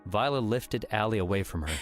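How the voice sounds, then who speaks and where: A man's voice: fairly low pitch, lively intonation, a little fast. A man narrating a scripted audiobook story, with music playing underneath.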